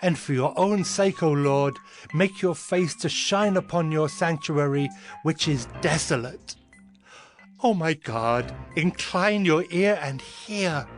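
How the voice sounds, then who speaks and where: Devout prophet for scripture audio drama: humble, fervent, slightly high voice, measured and unhurried. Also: male voice. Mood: pleading, fervent. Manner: solemn, fervent, measured delivery. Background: background music.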